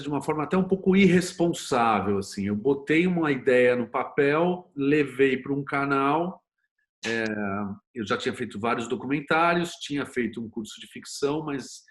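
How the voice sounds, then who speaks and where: A Brazilian man speaking as an interview guest, explaining things informally and thinking aloud in a conversational way. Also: medium pitch. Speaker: interview guest, screenwriter and series producer.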